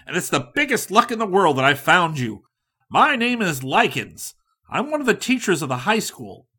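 A man acts out an eager, self-important hustler who cannot wait. The voice sits high and jumps about, loud and breathless with hurry, with a slightly husky grain. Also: exaggerated voice. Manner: excited, rushed, loud.

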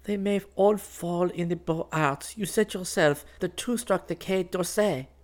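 Man voicing an anxious young woman, pitched high. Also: unhurried. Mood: anxious pleading. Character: anxious, affectionate young woman pleading with her lover. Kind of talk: audiobook character dialogue.

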